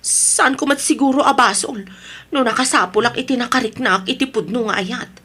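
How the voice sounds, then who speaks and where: A woman's voice: on the high side, normal speed, fairly bright tone, slightly rough. A Filipino woman playing a lovestruck, stubborn married woman in an audio drama, emotional and pleading in her delivery. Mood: yearning.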